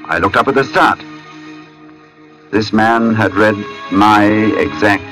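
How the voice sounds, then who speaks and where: An actor playing an uneasy artist who narrates an eerie tale in a brooding, deliberate 1940s radio suspense style. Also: male voice, on the low side. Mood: shaken. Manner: brooding, deliberate, halting when shaken. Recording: old radio recording with music behind.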